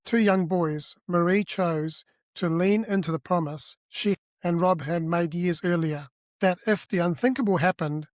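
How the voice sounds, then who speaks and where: A man's voice: high voice, no room sound, studio quality. Earnest male podcast host. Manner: earnest, slightly halting with restarts. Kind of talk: scripted introduction then interview questions.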